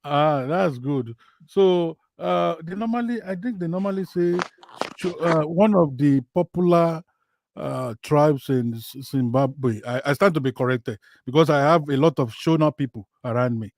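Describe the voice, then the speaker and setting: A man's voice: a little high, measured pace, creaky, a little mellow, dry recording. Hesitant male host in a dry room. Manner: hesitant, many fillers and restarts. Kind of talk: live call-in talk show.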